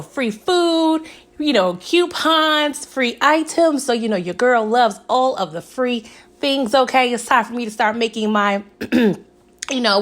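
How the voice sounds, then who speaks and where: A young woman with a raspy, hoarse voice, chatting casually through a TV episode recap and often asking "okay?". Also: fairly high pitch. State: hoarse from recent illness. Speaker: TV recap vlogger.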